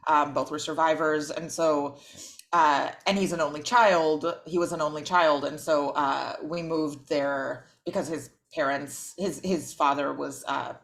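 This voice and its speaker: A woman's voice: low voice, fairly even intonation, a little slow, gravelly. A woman in her thirties who grew up partly in Israel, speaking as a guest author on a video call. She talks in a thoughtful way with frequent ums. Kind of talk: casual interview.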